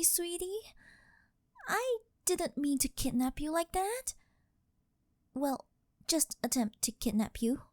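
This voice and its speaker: A woman playing a rich, possessive older girlfriend who dotes and coaxes in a sugary, drawn-out way, in an ASMR roleplay. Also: high-pitched, no room sound, studio quality. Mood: sheepish, apologetic. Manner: coaxing, sugary, drawn-out pet-name delivery.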